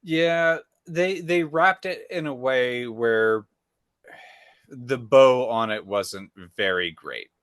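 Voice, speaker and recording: A man's voice: medium pitch, slow, fairly bright tone, dry recording, studio quality. A man co-hosting a podcast in casual chat, laid-back and wry, swearing casually. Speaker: podcast co-host.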